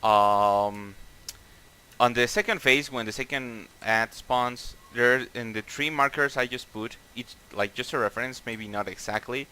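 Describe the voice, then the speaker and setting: Bright-voiced Mexican-accented man, raid leader. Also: mid-range voice, measured pace, smooth. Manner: casual, profane, many fillers and restarts. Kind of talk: explaining boss tactics over voice chat.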